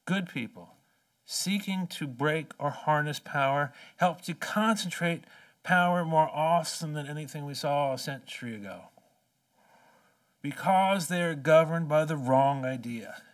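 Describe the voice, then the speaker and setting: A man in his forties giving an impassioned keynote speech at a podium, emphatic and rhetorical, with slow deliberate phrases. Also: a little high. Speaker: keynote speaker. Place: large conference hall, at a podium. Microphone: podium microphone. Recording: distant conference hall sound.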